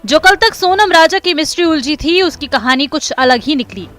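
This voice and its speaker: A woman's voice: high-pitched, animated, normal speed, fairly bright tone, faint music behind. A woman narrating a scripted crime news report in a dramatic, emphatic manner.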